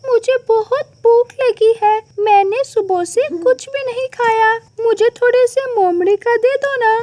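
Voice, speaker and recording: A woman's voice: very high voice, measured pace, a little mellow, no echo, pitched above the performer's own voice. A woman voicing a sweet, naive, hungry young runaway girl in a cartoon fairy tale. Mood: pleading, naive.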